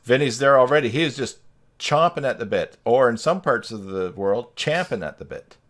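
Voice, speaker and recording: A man's voice: mid-range voice, expressive, moderate pace, a bit bright. A man hosting a live stream in casual, teasing chat on a video call. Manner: joking, teasing.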